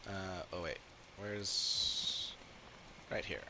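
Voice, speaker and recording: Halting, low-voiced male game streamer. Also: animated, vocal fry, background noise. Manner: halting, searching for words. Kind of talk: casual livestream commentary. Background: game music.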